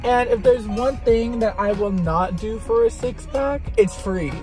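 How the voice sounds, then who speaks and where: A man's voice: high-pitched, unhurried, soft background music. Chatty young man vlogging from his car. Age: young adult. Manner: chatty, self-mocking, jumps into loud sing-song bits. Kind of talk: casual chat while driving. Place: inside a car. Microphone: camera on the dashboard.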